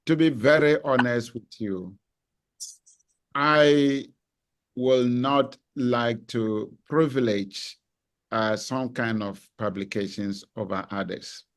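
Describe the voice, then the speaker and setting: A professor answers a question on a panel at a deliberate pace, thinking aloud and pausing with small hesitations. He is a man with a voice of middle pitch, speaking over a video call. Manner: deliberate, reflective, some hesitations. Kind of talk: measured academic answer.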